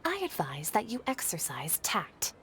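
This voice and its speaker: A woman's voice: on the low side, wildly swooping pitch, measured pace, background noise, exaggerated voice. A woman voicing a stern, officious scholar official in a video game, formal and threatening. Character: stern, officious scholar authority issuing warnings.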